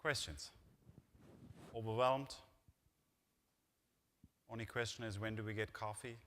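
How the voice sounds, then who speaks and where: A man's voice: on the low side, fairly even intonation, measured pace, fairly bright tone, long reverb tail, slight background noise. A man, an executive, closing a conference session with informal remarks and frequent fillers. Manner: informal, hesitant, with many fillers. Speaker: company executive and co-presenter. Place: large conference hall.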